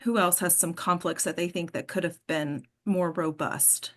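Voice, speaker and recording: A woman's voice: on the low side, steady tone, moderate pace, a bit bright. A woman acting coach hosting a casual discussion, explaining with emphasis and prompting the group with questions.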